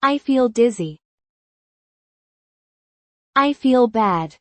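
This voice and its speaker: Woman, English drill reader, slow even delivery, very slow pace, dry close sound. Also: fairly high pitch, no room sound. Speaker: English teacher voice. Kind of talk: slow scripted lesson reading.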